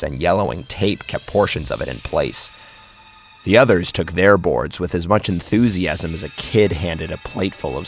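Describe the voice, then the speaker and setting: A man's voice: deep voice, creaky. A man narrating a scripted first-person horror story dramatically, close on the microphone. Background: background music.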